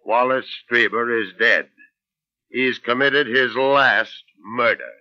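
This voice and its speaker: An actor playing a reassuring, authoritative police inspector with a deliberate manner in a 1940s radio drama. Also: male voice, mid-range voice, pushed and bright, soft background music. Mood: solemn. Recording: muffled old radio recording.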